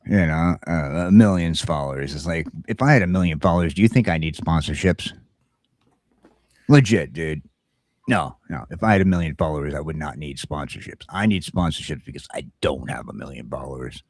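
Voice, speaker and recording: Male talk show host, very low register, dry sound. Casual, rambling, stammering delivery with emphatic repetition. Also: a little fast, dry recording. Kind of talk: casual morning show chat.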